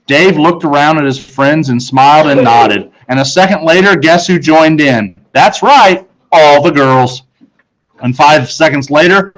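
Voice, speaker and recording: A man who is a teacher, narrating a children's book aloud with expressive storytelling. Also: on the high side, low-quality recording.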